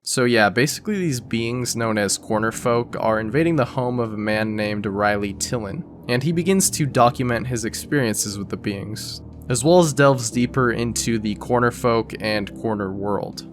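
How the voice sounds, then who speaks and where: A man's voice: mid-range voice, moderate pace, few pauses, faint music behind. A man narrating an explainer video in a casual, conversational, scripted style. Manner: conversational, informal.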